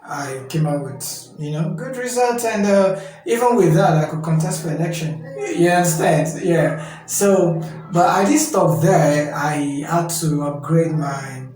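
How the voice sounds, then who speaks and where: A Nigerian man in his thirties, a guest in a casual interview, talking haltingly with many fillers and frequent checks of "you understand?". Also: on the high side, a little mellow. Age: 30s. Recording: heavy echo with faint music.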